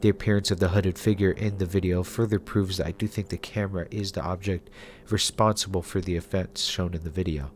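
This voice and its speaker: A man narrating a scripted video essay in a measured, conversational, explanatory way, as the host of a review series. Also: sits low, fairly even intonation, slight background noise. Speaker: host of a web series reviewing analog horror.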